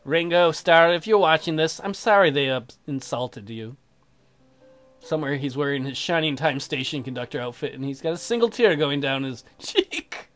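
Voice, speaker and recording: A woman's voice: sits low, moderate pace, soft background music. A woman hosting a let's play, casually reading game dialogue aloud and commenting in a joking, slangy way.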